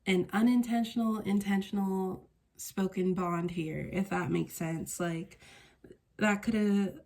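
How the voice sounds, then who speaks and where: A woman's voice: mid-range voice, a little mellow. Congested woman giving a rambling tarot reading. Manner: meandering, searching for words, self-correcting. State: congested, stuffy nose. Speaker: tarot card reader. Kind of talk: casual channeled tarot reading.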